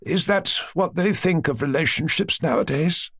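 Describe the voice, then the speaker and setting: A man doing a sharp-tongued old grandmother. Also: fairly high pitch, moderate pace, breathy edge, dry recording, pitched above the performer's own voice. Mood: pointed. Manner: dry, pointed, stresses certain words.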